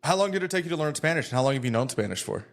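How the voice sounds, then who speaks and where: A man's voice: fairly high pitch, lively intonation, very fast, vocal fry. A man from the United States hosting a podcast over a video call, talking in an easygoing, enthusiastic way. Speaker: podcast host. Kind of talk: casual interview chat.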